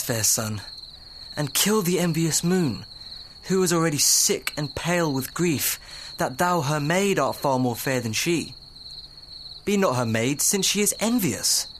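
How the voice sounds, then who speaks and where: A man's voice: medium pitch, close to an everyday voice. Young man acting a lovestruck Shakespearean youth, noisy recording. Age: young adult. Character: ardent, lovestruck young nobleman. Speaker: student actor. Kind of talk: Shakespearean audio drama acting.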